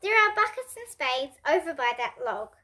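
Child reader as an eager, high-voiced little storybook boy. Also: sing-song, normal speed, bright, forceful tone, exaggerated voice. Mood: excited, insistent. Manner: eager, confident, insistent.

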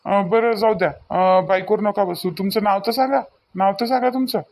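A man's voice: sits high, fairly even intonation, normal speed, darkish tone. A man voicing a cocky, insistent young village loafer in a cartoon comedy, boastful and wheedling, with a Varhadi Marathi accent. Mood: wheedling, pestering. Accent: Varhadi Marathi from Vidarbha.

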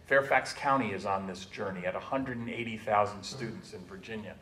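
A man's voice: lowish voice, a little fast, sharp tone, a little hiss or hum. Panelist, male, 60s, American, emphatic and persuasive, recorded off-mic in a reverberant room. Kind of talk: panel discussion, persuasive argument. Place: meeting room, indoors. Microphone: tabletop stand microphone. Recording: echoey room, distant microphone.

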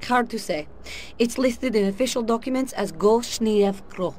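A woman's voice: on the high side, lively intonation, normal speed, darkish tone, a little hiss or hum, lightly acted. An actress voicing a sultry female Russian KGB spy with a Russian accent in a video game cutscene, cool and confident. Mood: earnest. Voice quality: cool, confident, dry delivery.

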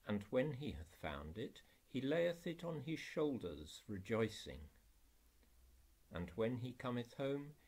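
A man reading scripture and prayer for an Anglican service in a formal, measured, solemn manner. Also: mid-range voice, very animated, darkish tone, husky. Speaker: reader in an Anglican church service.

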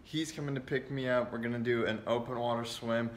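A man's voice: mid-range voice, steady tone, a little fast. A young man talking casually to the camera in a vlog, friendly and joking. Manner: friendly, joking, laid-back. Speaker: vlogger.

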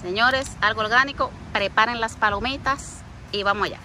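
Woman, interview host, bright tense voice, lively and teasing, faint background noise. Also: on the high side, moderate pace. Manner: lively, addresses viewers directly, teasing. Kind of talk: casual interview.

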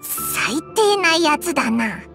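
A woman's voice: very high voice, big pitch swings, slow, gravelly, heavily acted. A woman voicing a tiny, brash, chatty floating fairy companion in a video game. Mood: blunt curious. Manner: blunt, chatty, childlike. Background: game music.

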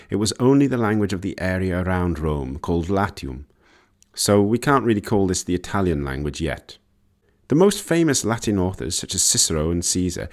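A man reading scripted narration for an educational video about language, as its narrator. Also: deep voice.

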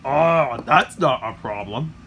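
A man voicing a laid-back talking monster truck with a gravelly voice, on a noisy home recording. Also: mid-range voice, expressive, slow pace, a bit bright, voice pushed down, exaggerated voice.

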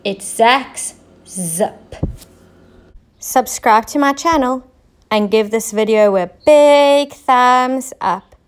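A woman teacher reading a story to young children in an expressive way, drawing words out for emphasis. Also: sits high, slow.

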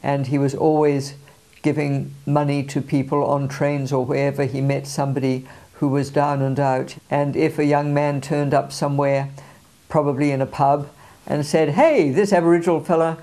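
An older woman author telling her story in a documentary interview, in a formal, measured way. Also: deep voice, level tone, dark tone, no echo. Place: outdoors beside a house.

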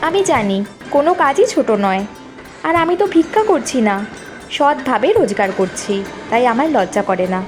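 Earnest, high-pitched young college girl. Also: lively intonation, moderate pace, a little mellow, soft background music. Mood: polite, steady. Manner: earnest, polite, explanatory. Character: earnest, hardworking young college woman of modest means. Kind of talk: Bengali animated story dialogue.

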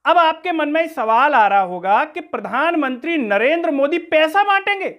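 High-pitched man, questioning commentator. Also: animated, normal speed, a bit bright. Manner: rhetorical, questioning, accusatory. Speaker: news commentary host. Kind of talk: political commentary to camera. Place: small room indoors.

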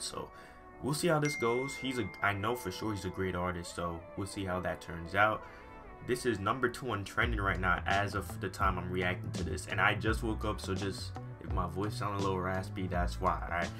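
A man in his twenties with an American accent gives casual, slangy reaction commentary. His voice sits fairly low. He speaks excitably and often doubles back on his phrases. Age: 20s. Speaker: reaction video host. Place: small bedroom, indoors. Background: hip hop music playing.

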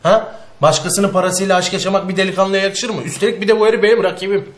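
A man's voice: high voice, fairly even intonation, quick pace, natural voice. A man in his thirties playing a proud, exasperated son in a TV comedy-drama, talking in a blustering, colloquial way. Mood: indignant, worried. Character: exasperated, proud working-class son explaining himself to family.

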